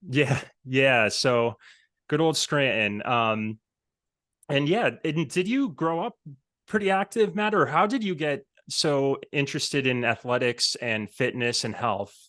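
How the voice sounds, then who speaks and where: A man's voice: medium pitch, measured pace, a bit bright. A middle-aged American man from Pennsylvania, chatting casually as a podcast host. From Pennsylvania, United States. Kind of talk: casual conversational interview.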